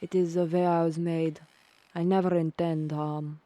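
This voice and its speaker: Woman to play a poisonous tree spirit for radio play: deep, velvety, grave, gently menacing. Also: little pitch movement, moderate pace, no room sound, lightly acted. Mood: solemn, regretful. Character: solemn poisonous evergreen tree, gentle yet ominous. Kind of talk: family audio drama acting.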